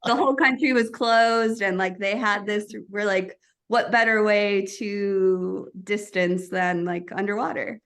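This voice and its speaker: A woman's voice: medium pitch, fairly even intonation, measured pace, fairly bright tone. A woman, a guest chatting on a podcast, chatty and laughing often with lots of fillers. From United States. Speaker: guest, travel agency co-founder. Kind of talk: casual podcast chat.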